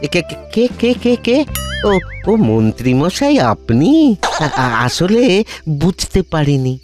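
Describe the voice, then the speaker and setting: A timid village schemer in a comedy cartoon. His voice is high and very animated, stammering and fawning as he grovels, with music clearly playing behind. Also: slow pace. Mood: flustered, fawning. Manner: stammering, obsequious.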